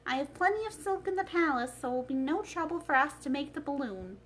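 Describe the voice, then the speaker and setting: A woman's voice: high voice, expressive, quick pace, voice pushed up. A woman voicing a weary old humbug wizard in a storybook, earnest and emphatic as he explains himself. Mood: earnest, explaining.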